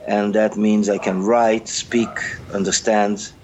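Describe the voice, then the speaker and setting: A middle-aged man with a Brazilian Portuguese accent, a journalist answering interview questions in a halting, earnest way, often repeating words as he searches. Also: fairly low pitch, flat delivery, darkish tone, a little hiss or hum, poor audio. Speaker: journalist guest.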